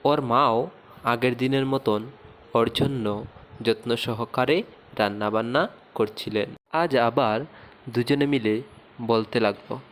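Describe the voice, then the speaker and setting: A man narrates a scripted cartoon moral tale at a slow, measured pace. His voice sits at an average male pitch, with faint noise behind it. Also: steady tone. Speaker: storyteller, narrator.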